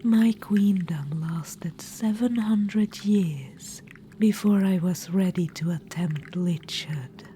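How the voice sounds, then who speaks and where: A woman's voice: lowish voice, slight background noise. A woman whispering as an ancient lich witch-queen. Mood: sly, coaxing. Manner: soft whispering, unhurried, condescending, calls the listener darling. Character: ancient undead witch-queen, a vain and scheming female lich. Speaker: ASMR roleplay performer. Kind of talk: whispered ASMR audio roleplay.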